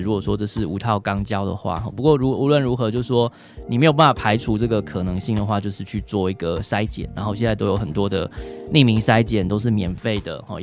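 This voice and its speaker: Taiwanese guy doctor answering questions, talks fast, kinda low voice, little tag sounds after phrases, bit of guitar in the back. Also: creaky. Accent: Taiwanese Mandarin. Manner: frequent trailing particles, role-plays examples in passing. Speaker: doctor, guest expert. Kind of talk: informal question-and-answer advice. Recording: music behind the voice.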